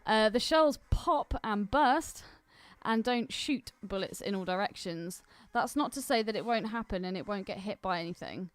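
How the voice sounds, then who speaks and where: A woman's voice: medium pitch, normal speed, hardly any pauses, smooth, no echo. A woman co-hosting a podcast, chatting casually and reading aloud, giggly and easily sidetracked. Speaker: podcast co-host. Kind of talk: casual chat, reading listener mail aloud.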